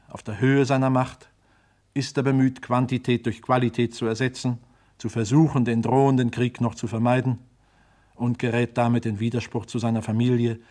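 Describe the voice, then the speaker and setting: Even-toned male radio announcer. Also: medium pitch. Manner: measured, formal. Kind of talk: scripted narration.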